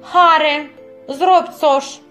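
A haughty, fussy society wife acted by a woman in a cartoon. She speaks in a high voice at a slow pace, demanding and complaining, with faint guitar music behind. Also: steady tone, fairly bright tone, heavily acted. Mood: disgusted, complaining. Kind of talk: amateur stage-play acting in a cartoon.

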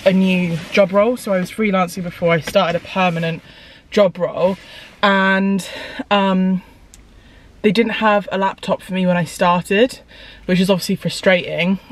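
A young woman vlogging casually to camera, chatty and rambling with lots of fillers, her voice a bit croaky today. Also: on the low side, steady tone, normal speed. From United Kingdom. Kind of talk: casual vlog chat to camera. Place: parked car, then home hallway. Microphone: camera on dashboard, then handheld camera.

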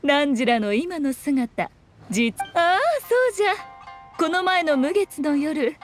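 A woman's voice: high voice, a little slow, soft background music. A woman voicing a sly, haughty fox-spirit shrine maiden in a Japanese anime game, with teasing, archaic noble speech. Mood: amused, sly.

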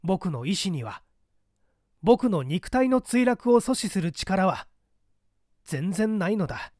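A man's voice: high-pitched, big pitch swings, slow, darkish tone, no room sound, studio quality, full character voice. A man voicing a defiant, sardonic party operative in an audiobook drama, arguing in formal, rhetorical speech. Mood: resolute. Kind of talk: dramatic audiobook character dialogue.

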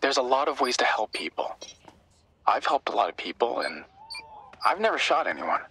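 An actor playing an earnest, caring young tech helper in a video game, eager and explanatory. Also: male voice, medium pitch, very animated, moderate pace, vocal fry, bright, forceful tone, a little hiss or hum, exaggerated voice. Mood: worried. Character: earnest, worried young male tech-savvy sidekick. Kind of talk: cinematic game dialogue.

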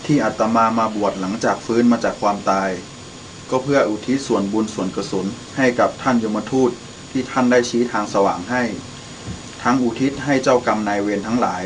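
A man's voice: fairly low pitch, a little fast, slight background noise, natural voice, rough recording. A young man acting the role of a father, a brash drinker who turns repentant, in a student radio drama. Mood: calm, repentant. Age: young adult. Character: drunken brash father, later a repentant gentle monk.

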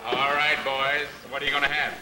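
An American man playing a brisk, hearty lunch-counter man in 1950s TV comedy, speaking insistently. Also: medium pitch, little pitch movement, pushed and bright. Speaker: supporting actor in a comedy sketch. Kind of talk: 1950s live TV comedy acting. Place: television studio stage. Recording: noisy, echoey old 1950s TV soundtrack.

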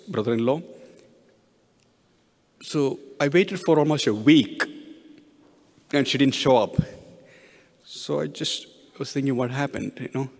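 A middle-aged man, a priest giving a homily with personal stories in an earnest, halting way, often restarting his phrases. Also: mid-range voice. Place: church, at the pulpit. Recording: long hall reverb.

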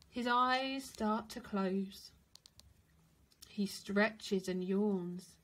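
A woman reads a children's picture book aloud as a teacher would, with dramatic, deliberate phrasing. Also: mid-range voice, poor audio. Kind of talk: expressive children's storybook narration.

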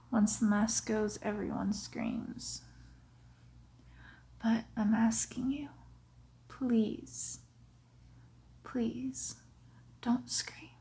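A young woman playing a sinister, coaxing vampire who poses as a doctor, in a hushed, often whispered, muffled ASMR roleplay. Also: a little high. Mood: hushed pleading. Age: young adult. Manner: slow, hushed, often whispering, soothing, deliberate. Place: living room, lying on a sofa.

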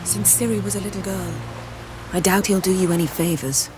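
An actress playing a poised, imperious woman with cutting wit and crisp, formal delivery in a fantasy game. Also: on the low side, steady tone, brisk, noisy background, natural voice. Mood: cool, wry. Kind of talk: video game dialogue acting.

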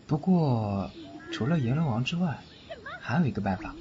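A man's voice: medium pitch, animated, normal speed, warm tone, close to an everyday voice. A man playing a teasing godfather versed in spirit magic, in a theatrical audio drama style. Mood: knowing, explaining. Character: teasing, age-shy godfather who knows spirit magic. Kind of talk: Chinese audio drama acting. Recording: muffled lo-fi, faint noise.

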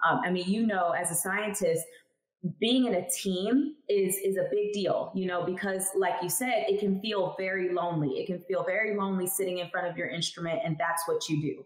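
A woman's voice: fairly low pitch, steady tone, normal speed. Woman, thirties, interview guest, candid and emphatic, video call from home, roomy sound. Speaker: guest, cannabis lab chemist. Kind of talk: casual interview talk. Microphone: headphones on video call.

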